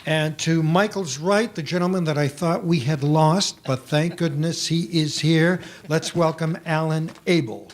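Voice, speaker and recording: A man's voice: a little high, a little slow, hardly any pauses, a little hiss or hum. A man moderating a panel with courteous, formal introductions, recorded live at a convention.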